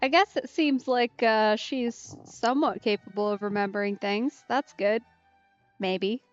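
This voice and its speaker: A woman's voice: mid-range voice, unhurried, dull audio, soft background music. A young woman doing casual let's play commentary, chatty and full of playful asides.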